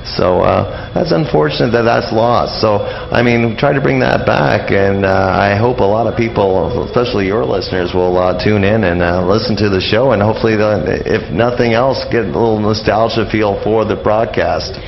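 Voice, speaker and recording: An older man speaking as a guest in a casual radio interview, in a halting, rambling way with frequent hesitations. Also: on the low side, vocal fry, slight background noise. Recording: dull lo-fi radio interview audio.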